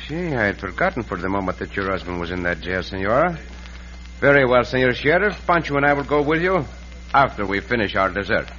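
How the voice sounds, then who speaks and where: An actor playing a bold, courteous Mexican cowboy hero in an old-time radio western, speaking formally with a Mexican accent and Spanish words. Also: male voice, lowish voice, sing-song, a little fast, muffled recording. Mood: composed. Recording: noisy, band-limited vintage radio recording.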